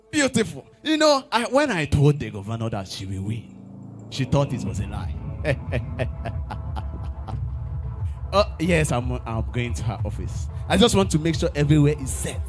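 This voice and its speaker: An actor playing an excitable aide who laughs and shouts in bursts. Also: male voice, on the high side, a little slow, pauses often, faint music behind. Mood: excited. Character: excitable loyal male aide, later furious.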